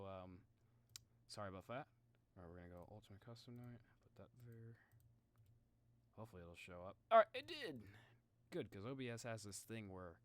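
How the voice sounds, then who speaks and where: A man livestreaming in a casual, halting way, chatting informally to his viewers and muttering to himself. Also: fairly low pitch, fairly bright tone. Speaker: live streamer reacting to game videos.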